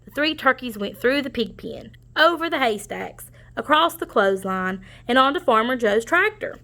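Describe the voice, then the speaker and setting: A woman narrates a picture book for young children. Her voice is bright and on the high side, and she adds explanatory asides as she reads. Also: expressive, normal speed. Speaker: storybook reader. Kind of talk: children's read-aloud narration.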